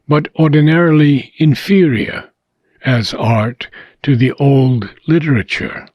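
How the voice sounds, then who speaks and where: A man reading a philosophical book aloud in a measured, deliberate way, pausing between short phrases, with a husky voice. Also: mid-range voice, a little mellow, dry recording. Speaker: audiobook reader.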